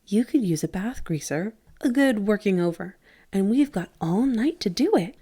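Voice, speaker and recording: A woman voicing a drunk, sneering rich teenage bully who talks slowly and unsteadily. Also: medium pitch, expressive, mellow, slightly rough, pitched above the performer's own voice. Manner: slow, unsteady, taunting.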